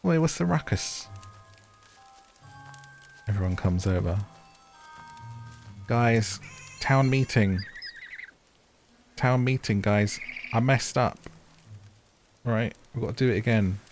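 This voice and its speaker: A man's voice: mid-range voice, slow, long pauses. Playful British man chatting over game music. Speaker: gaming video host. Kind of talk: casual gameplay commentary. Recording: music under the voice.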